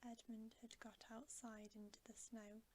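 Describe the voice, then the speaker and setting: A woman reading a bedtime story for ASMR in a soft, hushed, unhurried whisper. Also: a little high, flat delivery. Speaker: ASMR reader and channel host. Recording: faint background hiss.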